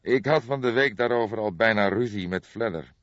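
A man voicing a weary, reflective old detective who speaks in a deliberate, solemn way in an audiobook. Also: on the low side, a bit bright, voice pushed up, natural voice. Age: older. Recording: boxy, echoey, dull recording.

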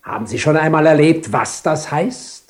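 An actor playing a grim, wronged husband posing as a stern bishop, terse and brooding, in a radio play. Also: male voice, a little high, moderate pace, natural voice. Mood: bitter, tense. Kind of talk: 1970s German-language radio play acting.

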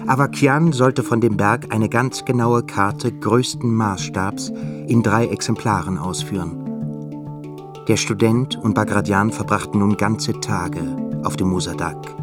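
Low-voiced male narrator over music. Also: fairly even intonation, measured pace. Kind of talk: scripted literary narration in a radio drama.

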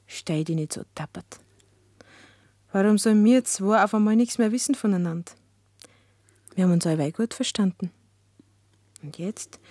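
A woman's voice: mid-range voice, dark tone, breathy edge, no echo, clean studio sound. An actress playing a defiant, coaxing young village woman with a Bavarian accent in a radio drama, frank and unapologetic. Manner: cajoling, unapologetic. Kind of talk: German dialect radio drama acting.

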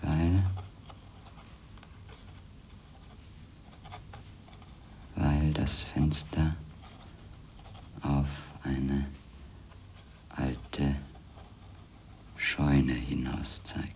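Skeptical ex-editor in a radio play, low voice, measured and brooding, bit of noise behind. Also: male voice, level tone, darkish tone, heavily acted, rough recording. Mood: slow, brooding. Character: skeptical, world-weary former magazine editor, male narrator of story. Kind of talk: German radio drama, introspective narration and dialogue.

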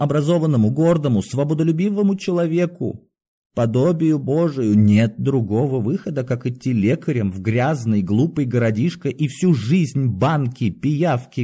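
A man's voice: mid-range voice, warm tone, pitched above the performer's own voice, natural voice. A man voicing a cultured, weak-willed aging doctor in an audiobook, pleading and exclaiming in educated speech.

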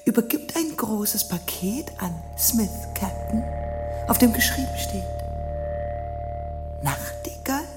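A woman playing the storyteller of a whimsical fable in an experimental radio play, theatrical and deliberate, sometimes dropping to a whisper. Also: a little high, lively intonation. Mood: storytelling, whimsical. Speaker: narrator. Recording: loud music behind the voice. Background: music.

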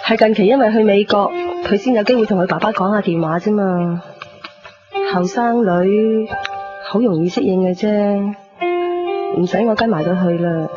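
A homely middle-aged Hong Kong Cantonese mother, played by a radio actress, caring and fussing, with a medium-pitched voice. It is a radio play, dull in sound, with clear music behind. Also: normal speed, lightly acted. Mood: kindly, chatty. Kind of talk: 1990s radio drama acting.

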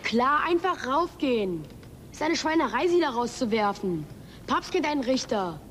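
High-voiced bold youngster. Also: female voice, big pitch swings, normal speed, a bit bright, a little hiss or hum. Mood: concerned, indignant. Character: bold, eager youngster, outspoken and helpful. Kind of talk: German radio play acting.

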